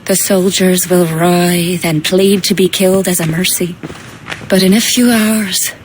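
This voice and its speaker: A woman's voice: fairly low pitch, fairly even intonation, a little slow, slight background noise, close to an everyday voice, rough recording. A woman playing a grave, mystical druid healer in a video game, speaking in a commanding, incantatory way. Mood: grave, instructing. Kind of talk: dramatic video game cutscene acting.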